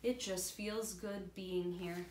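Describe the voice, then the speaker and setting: A woman reading aloud as an excited, wide-eyed young boy in a storybook, with an expressive delivery. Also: on the low side, slow pace. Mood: playful, content. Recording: roomy echo, faint room noise.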